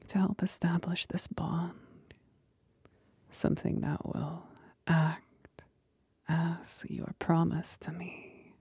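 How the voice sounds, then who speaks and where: A woman's voice: deep voice, warm tone, no echo, full character voice. A woman voicing a possessive demon companion in a whispered ASMR roleplay, seductive and commanding, soothing yet domineering. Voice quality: breathy whisper.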